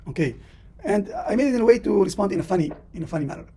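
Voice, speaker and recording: Fast-talking Tunisian professor, husky voice. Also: male voice, high-pitched, lively intonation, warm tone. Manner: explains at length, full of "uh" and "you know". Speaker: university professor. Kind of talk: classroom lecture.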